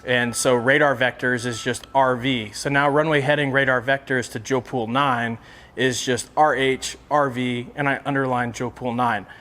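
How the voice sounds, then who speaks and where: A man's voice: mid-range voice, fairly even intonation, moderate pace, pushed and bright. A man giving a casual instructional explanation in a plain-spoken, conversational way, as a presenter teaching practical tips.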